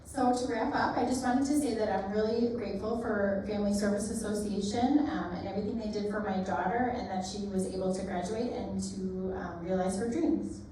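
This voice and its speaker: A woman's voice: mid-range voice, fairly even intonation, brisk, few pauses, warm tone. Woman interpreting a mom's story, sounds distant, super echoey, noisy room. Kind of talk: interpreting a testimony. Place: library meeting room.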